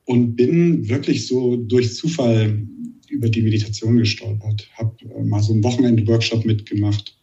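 A man with a Saxon German accent and a slight lisp, a meditation teacher answering interview questions calmly and thoughtfully. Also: lowish voice, gravelly, recorded from a distance, lo-fi sound. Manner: calm, measured, searching for words.

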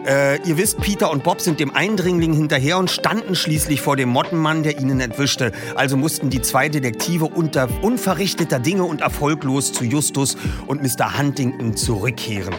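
A man closes a podcast segment with relaxed, chummy announcements. His voice sits a bit higher than average. Clear music plays behind him. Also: normal speed. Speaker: podcast host.

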